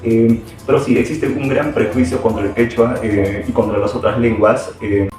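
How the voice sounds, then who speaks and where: Male teacher interviewee, halting and reflective, distant mic, roomy echo. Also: medium pitch, steady tone. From Peru. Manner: reflective, hesitant with many fillers and restarts. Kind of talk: interview answers. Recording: very echoey room, far from mic, music behind.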